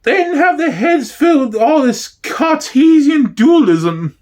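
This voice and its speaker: A man's voice: very high-pitched, expressive, unhurried, darkish tone, voice pushed up. A man voicing a cheery, nostalgic elderly East End woman who gossips fondly, in a comedy sketch. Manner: chatty, gossipy, blithely cheerful.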